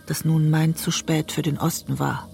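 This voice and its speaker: A weary, wounded writer in a radio drama. The voice sits fairly high for a man and has a gravelly creak. The delivery is introspective and restrained, with music in the production. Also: flat delivery, moderate pace, a little mellow. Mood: reflective, melancholy. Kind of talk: literary radio drama, introspective narration and dialogue.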